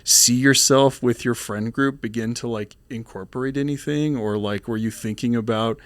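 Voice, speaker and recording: A man hosts a podcast, asking his guest questions that wander before they land. His voice sits at an ordinary middle pitch. The recording is close and dry. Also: steady tone, normal speed, dry recording. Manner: encouraging, asks rambling questions. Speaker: podcast host. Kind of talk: casual interview conversation.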